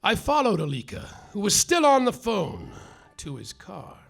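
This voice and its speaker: An actor playing a bumbling, melodramatic private detective, narrating in a spoofed hardboiled style and bursting into shouted outbursts, on a live theater stage. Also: male voice, high voice, wildly swooping pitch, normal speed, creaky, soft background music, exaggerated voice. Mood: brooding. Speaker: actor in a live comedy audio play.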